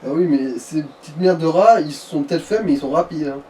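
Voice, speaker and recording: A man's voice: a little high, brisk, darkish tone, far from the mic, slight background noise, rough recording. A man giving casual, explanatory advice on a video game. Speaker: co-commentator advising the player. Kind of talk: casual gaming commentary.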